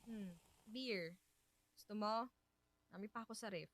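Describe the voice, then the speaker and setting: An actress playing a stubborn, prickly, grieving young widow in a Filipino radio drama, with emotional, protesting delivery. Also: female voice, mid-range voice, a little slow, fairly bright tone, slight background noise, natural voice. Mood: bitter, low.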